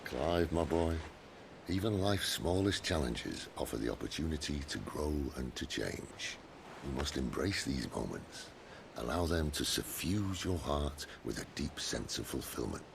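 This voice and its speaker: An older rebel mentor in a video game, with a very deep voice that swings freely. He is wry and breezy, with noisy ambience behind him. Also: animated, measured pace, vocal fry, full character voice. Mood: mock-solemn. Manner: wry, breezy, mock-philosophical. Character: roguish, cheerful older mentor and rebel leader.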